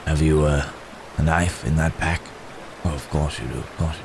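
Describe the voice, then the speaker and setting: A man playing a wounded, courteous werewolf in an intimate ASMR roleplay, halting and strained with pain, with old-fashioned polite phrasing. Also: very deep voice, steady tone, gravelly, background noise. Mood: weary, grave. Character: wounded, weary, courteous male werewolf hunted by men.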